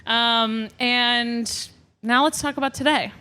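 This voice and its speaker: A woman's voice: fairly high pitch, steady tone, slow, pushed and bright, smooth. A woman casually co-hosting a podcast at a live show. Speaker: podcast co-host. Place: live show before an audience.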